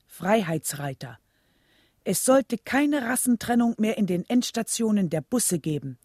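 A woman's voice: medium pitch, darkish tone, husky, dry recording, clean studio sound. A woman narrating a scripted documentary radio drama.

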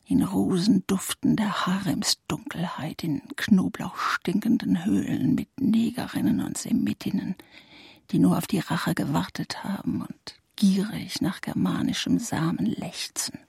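Breathy, low, bitter fanatical Nazi widow. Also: female voice, unhurried, a little mellow, no room sound, clean studio sound, exaggerated voice. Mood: hissing disgust. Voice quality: breathy, often dropping to a whisper. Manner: grim, venomous, solemn. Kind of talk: German literary radio play.

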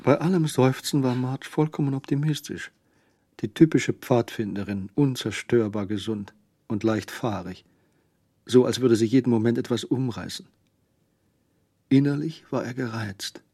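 An actor narrating a German crime radio play in a measured, wry and contemptuous manner. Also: male voice, mid-range voice, warm tone, breathy edge, no room sound, clean studio sound. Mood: wry, scornful.

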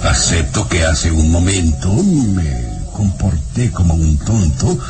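A man's voice: low voice, very animated, full character voice. An actor playing a sinister, falsely tender baron and father in a vintage radio serial, suspicious and insinuating. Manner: slow, suspicious, insinuating, with sly chuckles. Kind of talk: vintage Spanish-language radio serial acting. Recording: old radio drama with music underneath.

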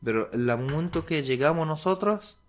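A young Syrian man whose first language is Arabic, speaking halting Spanish with an Arabic accent, searching for words as he tells his story to an interviewer. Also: on the high side, expressive, a little hiss or hum. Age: young adult. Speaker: guest.

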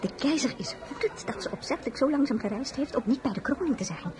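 An actress playing a flirtatious, frivolous princess in a radio drama, gushing, giggly and theatrical. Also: female voice, sits high, animated, fast. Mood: conspiratorial.

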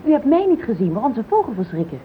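Defensive neighbour woman: quick, wildly inflected, indignant and emphatic, radio drama. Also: on the high side, sing-song. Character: defensive, insistent neighbour woman protesting her innocence. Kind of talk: radio play acting. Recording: old tinny radio recording with hiss.

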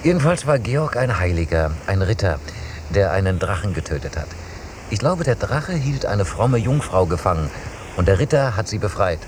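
An actor playing an inquisitive man who questions and explains in a deliberate, didactic way in a radio drama. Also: sits low, very animated, background noise.

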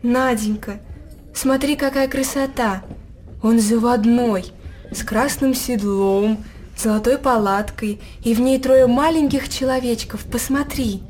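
A woman playing a gentle, doting mother. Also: fairly high pitch, a little slow, slight background noise, natural voice. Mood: coaxing. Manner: soft, coaxing and tender. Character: tender, anxious young mother. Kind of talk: radio play acting.

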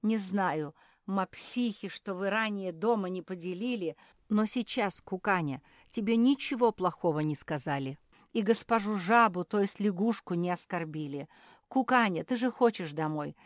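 A woman's voice: fairly low pitch, a little slow, hardly any pauses, dark tone, dry recording, clean studio sound. A woman voicing an anxious male dog in a children's story, beseeching and insistent as a peacemaker. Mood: pleading.

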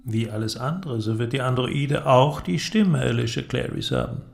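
An actor playing an eloquent, persuasive inventor in a radio drama, with a declamatory, deliberate delivery and ornate phrasing. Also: male voice, medium pitch, vocal fry, close to an everyday voice. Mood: lecturing, enthusiastic. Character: eloquent visionary male inventor, grandiose and persuasive. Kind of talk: German literary radio drama acting.

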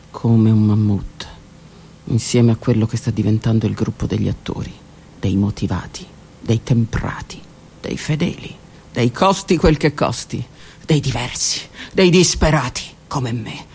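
Gravelly woman performing an intense monologue. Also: rumbling low. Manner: intense, dramatic delivery swinging between loud outbursts and whispers. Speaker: actress performing a one-woman stage monologue. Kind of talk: theatrical monologue broadcast on radio.

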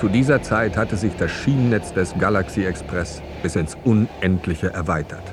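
A man's voice: deep voice, normal speed, over music, natural voice. A man playing the solemn storyteller of a space saga in a scripted German radio drama. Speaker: narrator.

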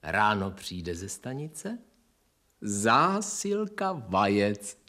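An actor playing a self-important, zealous Soviet farm director in a radio drama, given to pompous speeches. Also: male voice, fairly low pitch, big pitch swings, sharp tone. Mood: speechifying.

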